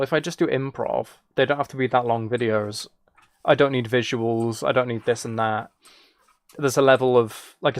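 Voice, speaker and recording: A man livestreaming a game, casually rambling and thinking aloud as he replies to viewers. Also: medium pitch. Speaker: livestreamer, gaming and ASMR channel creator. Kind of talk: casual live stream chat while gaming.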